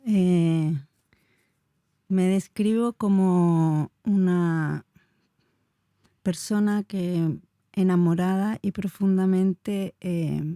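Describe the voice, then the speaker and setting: A woman's voice: on the low side, dark tone, dry recording, clean studio sound. A woman in her forties from Chile, a dance teacher answering interview questions in a searching, hesitant way with many fillers. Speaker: dance teacher and movement researcher.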